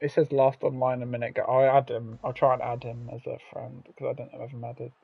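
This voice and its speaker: A hoarse teenage man whose voice is almost gone. He commentates a sim-racing event and then slips into loose, filler-heavy chat as the stream host. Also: medium pitch, flat delivery, a little fast, narrow, tinny audio. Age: teens. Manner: shouts calls at the finish, then reads results and chats loosely with fillers.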